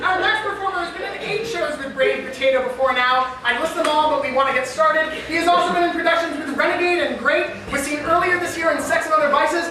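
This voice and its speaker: A woman's voice: high-pitched, fast, hardly any pauses. A woman acting as master of ceremonies, introducing performers live on stage and projecting out to an audience. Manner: announcer-like, projecting names out to the audience. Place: indoor theatre stage before a live audience. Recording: distant echoey hall recording with noisy audience. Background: audience noise and room ambience.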